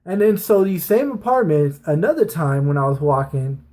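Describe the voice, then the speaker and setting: A man's voice: fairly high pitch, big pitch swings, normal speed, mellow. A man telling personal stories to his viewers in an informal, rambling, slangy way, with some swearing. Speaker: online storyteller, channel host. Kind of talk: casual personal storytelling to viewers.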